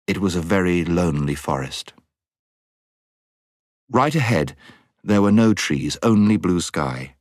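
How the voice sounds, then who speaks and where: A man narrating a storybook as an audiobook reader, with dramatic, measured phrasing. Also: sits low, lively intonation, a little mellow, no echo, clean studio sound.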